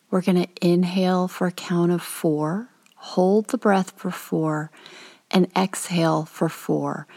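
A woman leading a guided meditation in a calm, gentle, soothing way, with soft and at times breathy delivery and pauses between instructions. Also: fairly low pitch.

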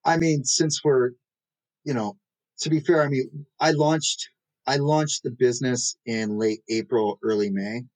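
A man's voice: mid-range voice, a little slow, a little mellow, dry recording, poor audio. A man from the Pacific Northwest, a startup founder, telling his career story in a rambling, self-deprecating way with many fillers. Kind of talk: casual interview storytelling. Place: New York City.

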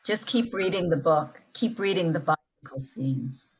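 A woman speaking as an interviewed guest, enthusiastic and thoughtful, often halting and restarting as she explains. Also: fairly low pitch, animated, slightly rough, low-quality recording. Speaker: interviewed guest, scholar and book editor. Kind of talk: spoken interview discussion.

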